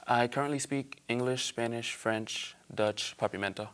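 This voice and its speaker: A man's voice: medium pitch, a bit bright, clean tone. A young man from Aruba, a guest on an interview show, talking casually and eagerly with fillers along the way. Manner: fast, eager, frequent fillers and laughs.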